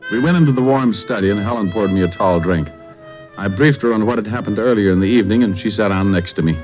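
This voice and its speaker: An actor playing a wisecracking hard-boiled private eye. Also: male voice, lowish voice, fast talker, close to an everyday voice. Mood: narrating. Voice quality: dry, wry delivery. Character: wisecracking male private eye, cool and flirtatious. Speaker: detective and narrator. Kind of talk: 1950s radio drama acting with first-person narration. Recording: dull old radio broadcast with music.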